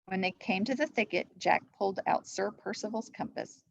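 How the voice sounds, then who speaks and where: A woman's voice: medium pitch, normal speed, gravelly, slightly rough, no room sound. A woman reading a children's storybook aloud as a teacher would, in a slightly muffled recording. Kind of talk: storybook read-aloud narration.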